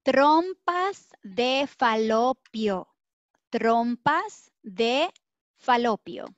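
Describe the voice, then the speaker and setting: A young woman interpreting medical terms on a video call, speaking in a careful, measured way. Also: fairly high pitch, lively intonation, no echo. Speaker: Spanish interpreter. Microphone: laptop mic on video call. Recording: dull dry video call audio.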